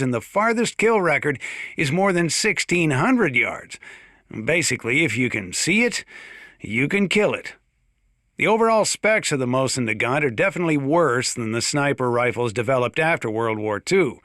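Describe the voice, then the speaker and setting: A genial, opinionated sheriff from a video game, holding forth like a small-town radio talker. His voice is mid-pitched, bright and forceful, with a chatty, enthusiastic manner. The sound is dry, with no room around it. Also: lively intonation, normal speed, no echo. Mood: fond, chatty. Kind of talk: scripted radio monologue, folksy instructional commentary.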